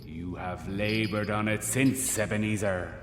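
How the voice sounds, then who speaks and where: A man playing the ghost of a dead old businessman in a radio play, speaking in slow, grave, booming pronouncements. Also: steady tone, a bit bright, a little hiss or hum. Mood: solemn. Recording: echoey ghostly reverb with music.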